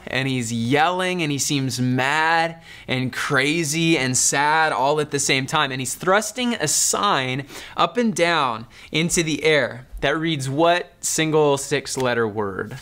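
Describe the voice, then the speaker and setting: A man's voice: a little high, bright, forceful tone. A man in his thirties, a pastor, preaching a sermon in an earnest, conversational storytelling manner. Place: dark studio, indoors.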